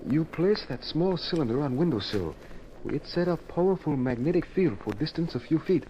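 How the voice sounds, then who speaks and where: An actor as a lively, deferential valet. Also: male voice, on the high side, moderate pace, highs cut off, a little hiss or hum, close to an everyday voice. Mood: deferential, eager. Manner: clipped broken English, deferential. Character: loyal, eager male valet and inventor sidekick. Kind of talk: old-time radio drama acting.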